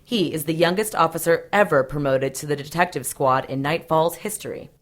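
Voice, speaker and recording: A woman's voice: low-pitched, a little fast, natural voice. A woman playing a cool, capable police detective in an audio drama, matter-of-fact with a dry edge. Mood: sardonic, defensive.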